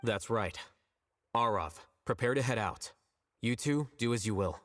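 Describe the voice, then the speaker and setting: A man playing an aloof, blunt scholar-official in a video game, speaking in a dry, measured deadpan. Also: mid-range voice, dry recording. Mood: curt.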